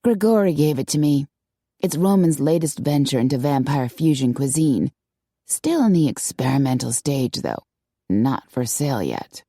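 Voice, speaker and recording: Audiobook casting: woman performer as tough vampire woman, low and growly, dry wit, blunt, mellow tone. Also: sing-song, moderate pace, no echo, exaggerated voice. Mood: wry. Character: tough, sardonic female vampire, hard-drinking and scornful of men. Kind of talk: audiobook character acting.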